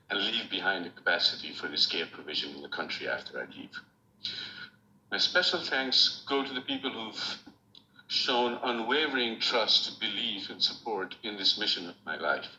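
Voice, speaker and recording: A man's voice: lowish voice, bright, forceful tone. Nepali accent man, formal thank-you speech, roomy dull recording w/ faint hiss. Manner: measured and formal, grateful. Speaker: award recipient giving an acceptance speech. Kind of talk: prepared acceptance speech. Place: room at home, recorded remotely.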